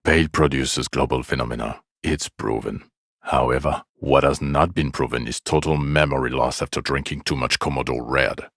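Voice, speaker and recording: Very deep-voiced, bitter cop. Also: male voice, steady tone, moderate pace, gravelly, a bit bright, no room sound, heavily acted. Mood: dry sarcasm. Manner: dry, cutting sarcasm, weary contempt. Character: bitter, exasperated, sarcastic male police detective. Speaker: video game voice actor. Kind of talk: dramatic game dialogue.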